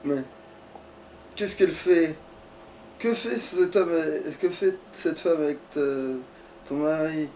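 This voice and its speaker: A man with a strikingly high voice talks through a game in a casual, crude way. He swears freely and rants in mock outrage. He sounds set back from the microphone. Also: takes it slowly, pauses often, a little mellow, a little hiss or hum. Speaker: let's player. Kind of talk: casual gaming chat. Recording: voice distant from the mic.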